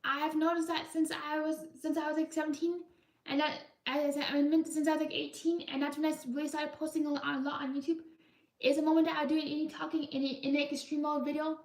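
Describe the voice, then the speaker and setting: A young woman hosting a live art stream, chatting casually and rambling with many restarts in a high-pitched voice. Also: little pitch movement, fast talker. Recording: roomy echo, mic far off.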